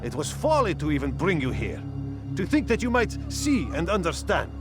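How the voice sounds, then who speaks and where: Game villain: theatrical, self-righteous slaver, lightish high register, lively swoops, taunting, over music. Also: male voice, moderate pace. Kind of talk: dramatic video game cutscene acting. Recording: music under the voice.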